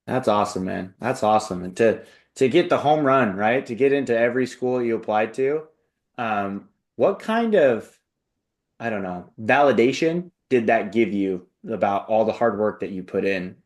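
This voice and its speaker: A man's voice: fairly low pitch, expressive, moderate pace. A man hosting an interview in a casual, upbeat, encouraging and buddy-like way. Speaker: interview host and application coach.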